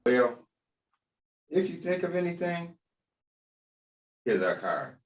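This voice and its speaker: A man's voice: fairly high pitch, big pitch swings, takes it slowly. A man playing a blunt, skeptical police detective with emphatic delivery in a screenplay read-through. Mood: skeptical, prodding. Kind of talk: screenplay table read acting. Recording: roomy, echoey, far from mic.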